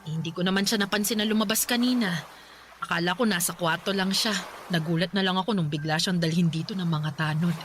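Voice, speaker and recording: A woman's voice: lowish voice, quick pace, a little hiss or hum, lightly acted. A woman playing a worried middle-aged mother in a Filipino radio drama, pleading and exasperated. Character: worried mother, pleading and scolding her household. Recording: music laid under the voice.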